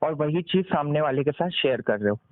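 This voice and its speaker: A man giving a persuasive sales pitch over the phone, explaining step by step, mixing in English business terms and checking for agreement. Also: animated, brisk, no room sound. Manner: explanatory, often seeks confirmation with tag questions. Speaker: sales consultant on a call. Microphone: recorded phone call. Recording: muffled lo-fi phone call recording.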